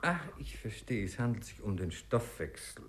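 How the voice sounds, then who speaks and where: An actor playing an urbane, genial doctor in a 1950s radio play, courteous, probing and slightly pompous. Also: male voice, lowish voice, very animated, normal speed. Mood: courteous, suave. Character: urbane, inquisitive male doctor, genial and self-assured.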